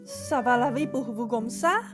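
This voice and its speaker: A woman's voice: on the high side, a little slow, lightly acted. A woman playing a put-upon young servant girl, a plaintive Cinderella with some exasperation, in a student radio play. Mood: exasperated. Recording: music behind the voice.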